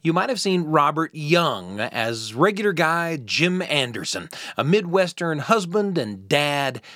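A man's voice: on the high side, sing-song, a little slow, no echo, studio quality. Reflective middle-aged man telling a story. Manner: reflective, gentle, warmly earnest telling. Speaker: radio host and storyteller. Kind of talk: personal storytelling on radio.

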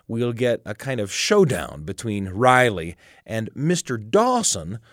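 Man hosting radio, very lively pitch swings. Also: unhurried, no echo. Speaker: radio storytelling show host. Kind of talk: conversational radio presenting. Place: radio studio.

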